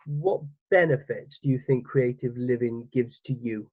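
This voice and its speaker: A man's voice: medium pitch, fairly even intonation, normal speed, no room sound. A man hosting his own channel, asking questions eagerly and stumbling over his words with many fillers. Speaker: YouTube channel host, interviewer. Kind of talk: casual interview hosting. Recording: thin phone-like sound, dry.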